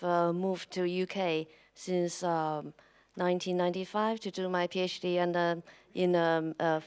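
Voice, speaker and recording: A woman's voice: on the low side, a little mellow, smooth. A woman, introducing herself hesitantly with many fillers. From China. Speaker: panelist, engineer.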